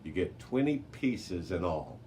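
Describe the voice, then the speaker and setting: A man's voice: low-pitched, big pitch swings, a little slow, mellow. A man voicing a helpful woman from an expedition gear crew in a children's storybook, giving instructions. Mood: instructive. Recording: noisy amateur recording with background hiss.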